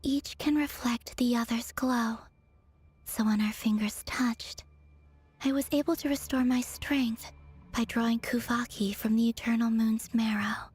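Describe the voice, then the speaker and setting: Whispery tired game goddess, higher voice, slow and resigned, super airy. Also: female voice, fairly even intonation, a little mellow. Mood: weary, melancholy. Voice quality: breathy, whispery. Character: weary, fading female moon goddess, melancholy and aloof. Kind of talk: video game voice acting.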